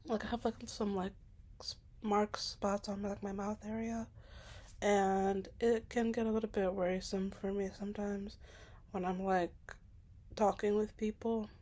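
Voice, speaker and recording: A woman's voice: mid-range voice, flat delivery, measured pace. A young woman in a casual, personal vlog monologue. She talks hesitantly and self-consciously, fills her sentences with filler words, and sometimes drops to a whisper. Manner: soft-spoken, at times dropping to a whisper. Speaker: vlogger.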